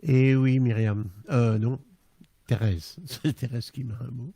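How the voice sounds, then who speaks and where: A man's voice: mid-range voice, fairly even intonation, a little slow, gravelly, mellow, husky, no echo. A man chatting casually as he hosts an online live music stream. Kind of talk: casual chat.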